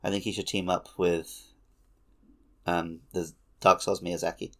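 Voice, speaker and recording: A man with a gravelly voice chatting casually as a podcast co-host. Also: low voice, flat delivery, unhurried.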